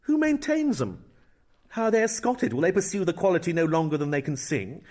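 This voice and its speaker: An actor's brooding, theatrical young prince. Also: male voice, high voice, sing-song, a little fast. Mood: curious, wry. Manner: theatrical, swinging between hushed and exclaiming. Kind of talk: Shakespearean dramatic reading.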